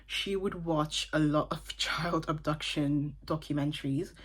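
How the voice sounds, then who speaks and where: Woman in her twenties, from Dublin, low pitch, casual book chat, thoughtful asides. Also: steady tone, unhurried, husky. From Ireland (Dublin). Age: 20s. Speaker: book vlogger. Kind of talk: casual book review talk.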